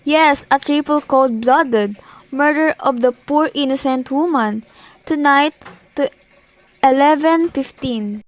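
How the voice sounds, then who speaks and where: A woman's voice: sits high, slow pace, low-quality recording. A young woman playing an imperious, nervous married lady in an amateur radio play, demanding and impatient. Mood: urgent, demanding. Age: teenager to young adult. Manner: demanding, agitated, impatient, haughty. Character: imperious, nervous, indignant married woman reporting an overheard murder plot.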